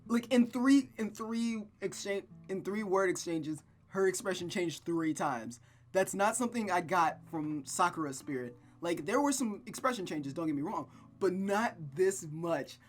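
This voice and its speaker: Game streamer, mid-pitched voice, casual, enthusiastic, read-aloud narration, stumbling delivery, guitar music in background. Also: expressive, a little slow. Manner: enthusiastic, informal, stumbles while reading.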